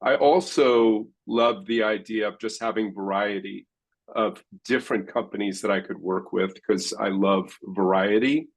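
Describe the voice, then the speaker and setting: Low-voiced man speaking on a panel. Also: a little slow, low-quality recording. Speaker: panelist, founder. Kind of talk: conference panel talk. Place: conference stage.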